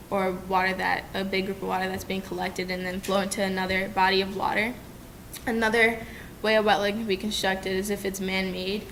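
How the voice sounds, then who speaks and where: A young woman gives a class presentation from a podium microphone, explaining step by step with the odd restart. Her delivery stays fairly even in pitch. A faint rumble sits under the lecture-room sound. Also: gravelly. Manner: steady, explanatory, some restarts and ums. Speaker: student presenter. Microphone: desk microphone at a podium.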